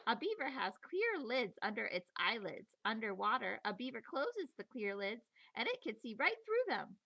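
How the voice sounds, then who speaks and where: A woman teacher reading a children's nature book aloud in an expressive, playful storytelling manner, with chatty asides. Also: a little high, normal speed, creaky, dull audio.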